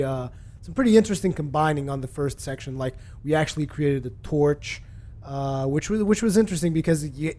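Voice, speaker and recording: A man casually reviewing a video game for his channel, relaxed and rambling, with lots of fillers and restarts. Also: fairly high pitch. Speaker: gaming video host.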